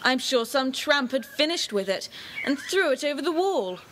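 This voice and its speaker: A woman playing a soft-hearted, outspoken young girl in an audio drama. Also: high voice, animated, normal speed, slight background noise.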